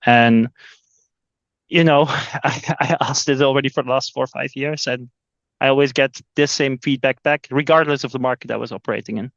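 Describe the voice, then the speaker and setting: A man's voice: mid-range voice, fairly even intonation, moderate pace, creaky, fairly bright tone, no echo, highs cut off. A man from Belgium, a sales executive talking as a podcast guest, often repeating words as he restarts his sentences. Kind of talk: casual interview talk.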